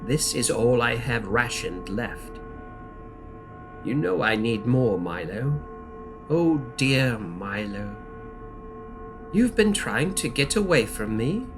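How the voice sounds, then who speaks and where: Evil knight from a nightmare, audio drama, slow n theatrical, mock-friendly taunting, music under it. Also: male voice, mid-range voice, sing-song. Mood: sinister pleading. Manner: theatrical, drawn-out, mockingly friendly. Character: malevolent male dream knight, calm, taunting, hungry for vice. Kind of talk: audio drama villain monologue.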